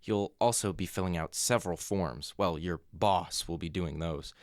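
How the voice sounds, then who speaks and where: A man acting in a radio play as a shrewd, unflappable small-town lawyer, speaking in a polite and measured way. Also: low voice, no echo, studio quality, natural voice. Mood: smoothly persuasive. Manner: polite, measured, quietly persuasive.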